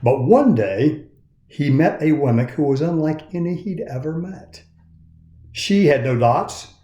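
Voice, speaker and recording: A man in his sixties reading a children's story aloud in an expressive storytelling style. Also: medium pitch. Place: indoors in a woodworking shop. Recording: roomy, echoey sound.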